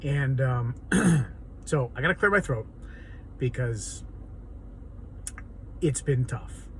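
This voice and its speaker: A man with a hoarse, raw, raspy voice, talking earnestly and rambling in an unscripted personal vlog monologue. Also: mid-range voice, animated, slow, a bit bright, slight background noise, low-quality recording. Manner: rambling and earnest, many ums and restarts. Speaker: vlogger.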